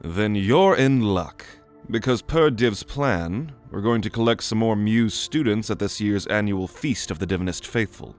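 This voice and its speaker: A man's voice: lowish voice, a little slow, hardly any pauses, faint music behind. A man voicing a weary magic teacher in an audio drama, lecturing with a dry, wry manner. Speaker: narrator and teacher figure. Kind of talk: ASMR audio drama roleplay.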